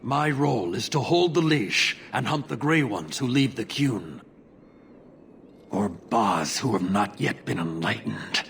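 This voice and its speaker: Stern, gravelly warrior zealot. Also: male voice, on the low side, expressive, fairly bright tone, a little hiss or hum, full character voice. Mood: stern, cold. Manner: formal, measured, declamatory. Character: stern zealous horned Qunari warrior, commanding and unyielding. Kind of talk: video game acting.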